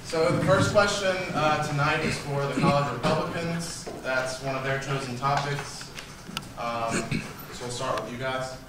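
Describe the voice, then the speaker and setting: Quiet guy moderating a debate, far from the mic, big echoey room w/ background noise. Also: mid-range voice, fairly even intonation, a little slow. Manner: soft-spoken, hesitant with fillers. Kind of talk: explaining rules and reading questions. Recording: echoey hall, from far off, noisy.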